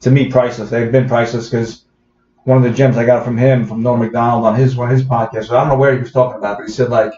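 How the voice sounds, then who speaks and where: A man's voice: mid-range voice, little pitch movement, fast, dark tone, slight background noise. A man with a Bronx New York accent, a comedian guest in a casual podcast interview, rambling with lots of fillers and restarts. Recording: roomy, dull podcast audio.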